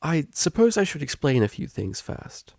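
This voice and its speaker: A man's voice: on the high side, very animated, moderate pace, vocal fry, a little mellow, no room sound, clean studio sound, pitched above the performer's own voice, exaggerated voice. A man voicing a prim, guarded young female pony student with a formal, hesitant manner in a story reading. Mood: hesitant, confessing.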